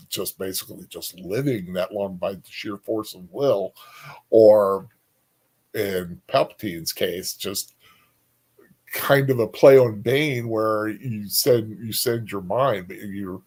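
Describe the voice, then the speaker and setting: A man's voice: fairly low pitch, takes it slowly, darkish tone. A man co-hosting a casual discussion, speaking hesitantly as he thinks aloud. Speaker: co-host.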